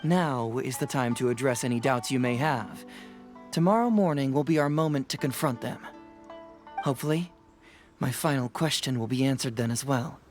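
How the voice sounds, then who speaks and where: A man's voice: medium pitch, moderate pace, soft background music, close to an everyday voice. Man playing a sly, confident young detective. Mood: thoughtful. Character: young male detective, confident, teasing, coolly probing.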